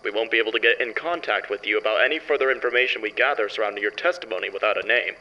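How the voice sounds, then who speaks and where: A man's voice: fairly low pitch, rapid-fire, lo-fi sound, close to an everyday voice. A man playing a businesslike, courteous interviewer taking testimony in an audio drama, speaking in a polite, procedural way.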